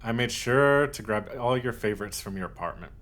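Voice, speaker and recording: A man playing an obsessive, possessive, lovesick yandere in a scripted ASMR roleplay, speaking haltingly with cooed endearments. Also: mid-range voice, lively intonation, close to an everyday voice. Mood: soothing, possessive. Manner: halting, cooing endearments, swings from hushed to insistent.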